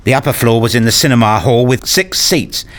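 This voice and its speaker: A man's voice: medium pitch, animated, brisk, fairly bright tone, natural voice. A man playing a frustrated, pleading father who tells his own life in a radio play, wordy and earnest. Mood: dejected. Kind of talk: first-person radio play narration and dialogue.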